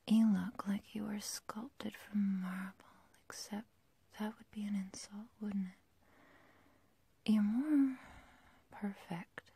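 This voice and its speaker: A woman's voice: mid-range voice, steady tone, warm tone, heavily acted. A woman playing an obsessive, lovestruck yandere girl kidnapper in a whispered, intimate, possessive ASMR roleplay. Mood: adoring. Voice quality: breathy, whispery. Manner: slow, intimate, halting, possessive.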